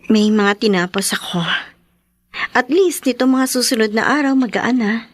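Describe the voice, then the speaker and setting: A woman's voice: on the high side, moderate pace, close to an everyday voice. A woman with a Filipino accent playing a hurt, guarded daughter in her twenties in a Tagalog radio drama. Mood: casual, resigned. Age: 20s.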